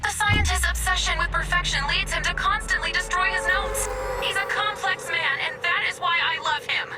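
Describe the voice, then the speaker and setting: A woman voicing a haughty, sardonic female robot in a video game, deadpan and condescending. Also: high-pitched, moderate pace, over loud music. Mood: lovestruck, haughty. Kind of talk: video game character dialogue.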